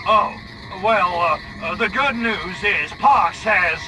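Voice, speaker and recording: An actor playing a wisecracking, cocky, lecherous alien invader in a sardonic comic video game style. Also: male voice, high voice, slow, noisy background. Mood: eager, flustered. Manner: sardonic wise guy.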